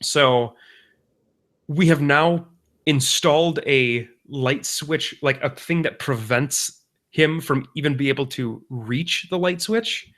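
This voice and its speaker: A man's voice: a little high, slow, a bit bright. A young adult man co-hosting a podcast, telling rambling stories in casual chat and often correcting himself as he goes. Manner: rambling, self-correcting parent anecdotes. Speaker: podcast co-host.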